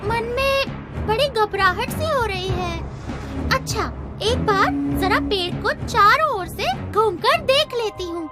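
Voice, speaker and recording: A woman's voice: very high-pitched, animated, slow, heavily acted. A woman voicing a small, innocent, anxious female bird in a children's cartoon, polite and earnest. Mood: fearful. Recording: music behind.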